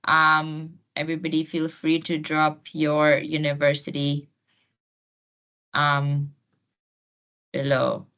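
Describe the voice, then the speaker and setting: A woman's voice: low-pitched, monotone, slow, pauses often, a bit bright, muffled recording. A woman leading an online class as host and trainer, speaking informally and encouraging participants to join in. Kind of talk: informal webinar presenting to participants. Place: online video call.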